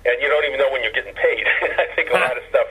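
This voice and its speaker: Husky man on a tinny phone line. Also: mid-range voice, quick pace. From Hawaii. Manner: deadpan, self-mocking humor. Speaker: guest, columnist. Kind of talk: phone-in radio interview. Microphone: telephone.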